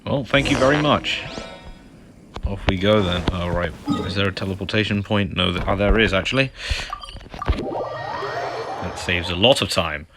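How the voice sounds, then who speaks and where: A man's voice: low voice, measured pace, long pauses. A man doing casual gameplay commentary, muttering to himself with exasperated asides. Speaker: gamer commentating a playthrough. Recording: game music behind the voice.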